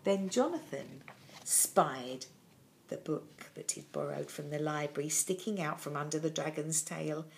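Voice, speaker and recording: An older woman reading a children's story expressively and dramatically, with deliberate pauses, in a small room at home. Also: deep voice, vocal fry, slight background noise.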